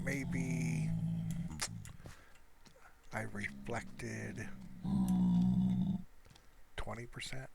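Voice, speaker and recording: A man giving casual, low-key gameplay commentary in a halting, rambling way. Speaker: gamer narrating own play. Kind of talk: casual unscripted gameplay commentary, soft ASMR-like. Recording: clearly noisy home recording.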